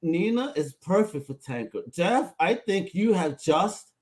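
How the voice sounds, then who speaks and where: Male podcast co-host, high pitched, hyped and exclamatory. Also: animated, unhurried. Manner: hyped, exclamatory, playful slang. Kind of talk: casual interview chat.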